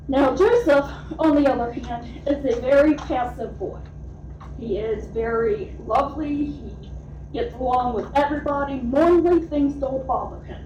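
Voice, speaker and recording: High-voiced mother at a distant lectern. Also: mellow, slight background noise. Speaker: parent speaking at public comment. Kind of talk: prepared public statement at a meeting. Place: school board meeting room. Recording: distant, echoey, dull meeting-room sound.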